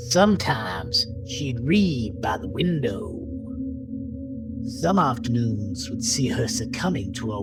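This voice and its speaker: A man reading a scripted horror story as narrator, in a brooding, deliberate, dramatic manner. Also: medium pitch.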